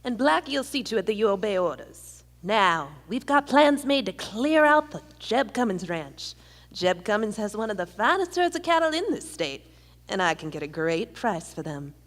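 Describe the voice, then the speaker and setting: A woman's voice: mid-range voice, very animated, normal speed, lightly acted. A woman playing a shrewd, commanding outlaw gang boss in a live Western radio play, folksy and authoritative. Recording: reverberant theater hall.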